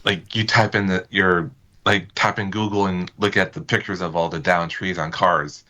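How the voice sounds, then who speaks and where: A man chatting casually as a podcast guest, with halting, hesitant phrasing. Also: deep voice, fairly even intonation, pushed and bright. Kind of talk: casual chat.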